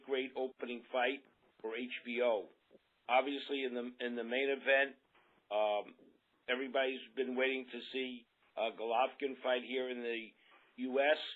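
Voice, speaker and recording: A man making unscripted promotional remarks as a boxing promoter opening a press call by telephone, halting and businesslike. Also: medium pitch. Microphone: telephone conference call. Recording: narrow tinny phone line.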